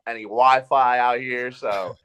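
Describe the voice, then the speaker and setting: A man's voice: medium pitch, fairly even intonation, unhurried, a bit bright, clean tone, dull audio. A man chatting casually as a podcast guest, telling things in a rambling, anecdotal way. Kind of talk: casual chat.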